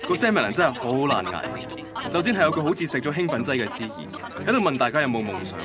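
A man's voice: mid-range voice, big pitch swings, fast talker, loud music behind the voice, a loud crowd, close to an everyday voice. An actor playing a heartbroken young man recounting his first love in a radio drama, wistful and self-deprecating, with a Hong Kong Cantonese accent. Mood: weary, sardonic. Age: young adult. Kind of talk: radio drama narration and dialogue.

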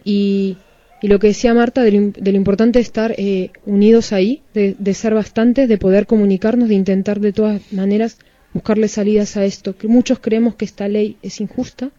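Very dark-voiced woman interviewing on radio. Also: mid-range voice, fairly even intonation, brisk, dry recording. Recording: dull, muffled radio recording.

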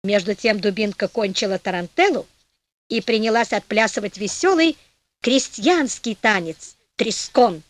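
A woman narrating a folk fairy tale for an audiobook with expressive storytelling. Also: mid-range voice, measured pace, no echo. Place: recording studio.

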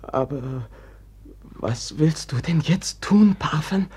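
A shaky frail man in a radio play, super raspy n breathy, slow, halting, drops to whispers. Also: on the high side, lively intonation, a little mellow, heavily acted. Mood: horrified, shaken. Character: frail, trembling man, horrified yet tender, brother-like companion. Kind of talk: German radio drama acting.

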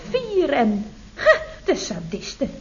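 An actress playing a chatty, sharp-tongued office secretary in a radio comedy serial, exclamatory and dryly cheeky. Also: female voice, high voice, huge pitch swings, slow, full character voice. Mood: outraged. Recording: muffled, noisy vintage radio recording.

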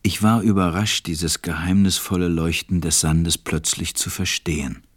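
A man acting a reflective, tender pilot who narrates a radio play in a measured, gentle way. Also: low voice, steady tone, breathy edge. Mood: pensive. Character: reflective adult male pilot, tender storyteller.

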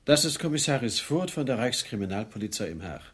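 A jovial, self-important police boss in a radio crime play. His husky voice swings up and down with officious, smooth-talking ease. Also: medium pitch, very animated, fairly quick. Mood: falsely jovial. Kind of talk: radio crime drama acting.

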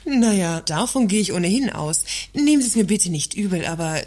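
A sharp-tongued female works councillor in a satirical radio play, acted by a woman. Her voice sits at a middle pitch, and she needles with articulate irony and mock politeness. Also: animated, fairly quick, lightly acted.

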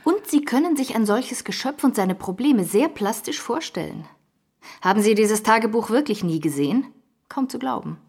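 An actress playing a sceptical journalist in a radio drama, pointed and challenging. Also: female voice, fairly high pitch, animated, normal speed, darkish tone, slightly rough. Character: sceptical, probing female journalist. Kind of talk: German radio drama acting.

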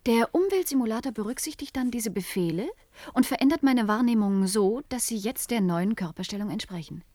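A brainy woman scientist in a sci-fi radio play, thinking out loud, bit hesitant, dry studio sound. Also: fairly high pitch, expressive, fairly quick, dry recording. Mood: curious, working it out. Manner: hesitant, thinking aloud, explanatory. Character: Natascha, thoughtful female cybernetics scientist reasoning things out. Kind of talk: German science fiction radio play acting.

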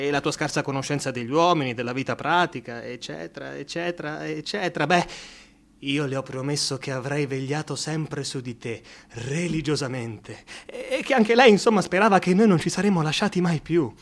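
An actor playing a devoted, excitable and protective male friend in an Italian radio drama, speaking in an effusive, coaxing, emphatic way. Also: a little high, close to an everyday voice.

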